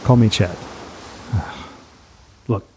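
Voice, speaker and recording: A man's voice: on the low side, extremely slow, dark tone, slight background noise. An actor playing an easygoing, blissed-out male agent stranded on an island in an audio drama. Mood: calm, easygoing. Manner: easygoing, serene.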